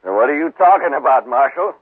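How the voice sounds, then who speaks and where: A man's voice: on the high side, animated, quick pace, slightly rough. An American man playing an arrogant, rich, scheming town boss in a 1950s radio western, curt and imperious. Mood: suspicious, menacing. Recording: muffled, echoing 1950s radio broadcast.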